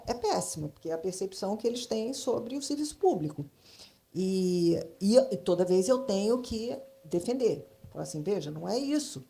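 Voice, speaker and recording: A Brazilian woman speaking off the cuff on a panel, halting and emphatic, repeating phrases as she thinks aloud. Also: mid-range voice, gravelly, warm tone. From Brazil, grew up in Brasília. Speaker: panel speaker, creative consultant in advertising. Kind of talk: spontaneous panel discussion.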